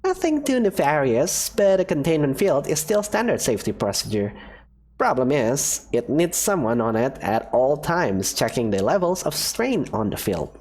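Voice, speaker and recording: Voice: mid-range voice, very animated, normal speed, a little mellow. A voice actor playing a cheerful, secretive woman professor who runs a daring experiment, talking in an upbeat, teasing way. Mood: explaining. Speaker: character voice in a fan visual novel. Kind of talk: visual novel voice acting.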